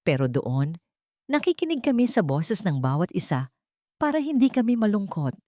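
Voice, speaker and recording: A woman voicing a humble, patient nanny who speaks calmly and politely in an audio drama. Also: medium pitch, normal speed, dry recording, studio quality, close to an everyday voice. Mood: soft, wistful. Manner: calm, soft-spoken, polite. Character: gentle, patient young nanny, humble and unshaken.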